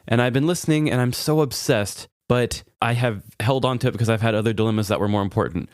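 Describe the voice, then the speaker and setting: A man's voice: medium pitch, fast talker, no room sound, clean studio sound. An American man co-hosting a podcast, chatting casually and comedically with gushing enthusiasm. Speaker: podcast co-host.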